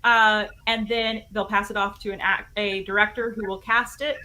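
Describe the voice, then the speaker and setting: A woman's voice: medium pitch, level tone, moderate pace, sharp tone, rough recording. A woman chatting casually as an interview guest, with some noise in the recording. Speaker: guest, audio drama team member.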